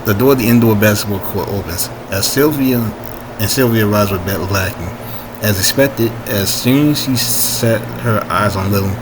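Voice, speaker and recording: A man reading his own story informally, haltingly, with asides and frequent rereading to correct himself. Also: fairly low pitch, noisy background.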